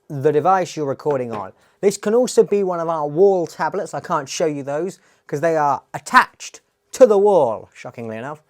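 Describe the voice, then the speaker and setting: A man presenting a tech tutorial, explaining a demo in a plain-spoken, step-by-step way. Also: fairly high pitch.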